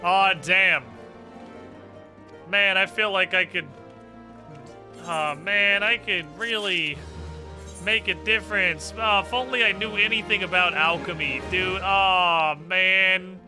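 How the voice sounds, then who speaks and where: A man with a very bright, fairly high voice chats casually over game music as he streams. He is sarcastic and swears freely. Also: steady tone, takes it slowly. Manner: sarcastic, profane. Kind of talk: casual game stream banter, reading game text aloud.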